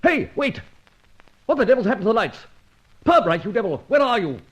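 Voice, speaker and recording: An actor playing a young British masked thief in an old-time radio detective drama, mock-polite, wry and commanding. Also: male voice, sits high, very animated, brisk, husky, full character voice. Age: young adult. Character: suave masked young vigilante thief, bold, mocking, menacing. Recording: dull vintage radio recording.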